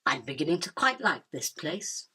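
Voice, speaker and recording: A woman's voice: sits low, big pitch swings, moderate pace, sharp tone, slightly rough, exaggerated voice. A woman playing a haughty, imperious spider queen in a radio drama, declaiming in a grand, self-important manner. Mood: brooding, disdainful. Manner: pompous, grandiose, theatrical declaiming. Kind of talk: illustrated radio drama acting.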